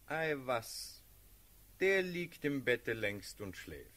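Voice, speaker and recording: An actor playing a down-to-earth, teasing officer and confidant in a classical radio play, speaking in a brisk, matter-of-fact way. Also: male voice, mid-range voice, very animated, fairly bright tone. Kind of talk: classical German stage verse in a radio play.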